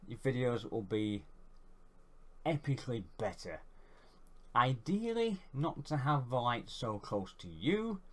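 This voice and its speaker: A man with a head cold and a congested voice, giving a rambling, casual how-to vlog. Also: mid-range voice. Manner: rambling, chatty, self-deprecating. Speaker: YouTuber.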